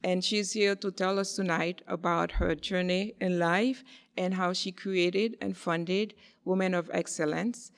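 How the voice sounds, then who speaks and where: A woman hosting a podcast, giving a measured, formal introduction of her guest. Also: on the low side, fairly even intonation. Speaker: podcast host.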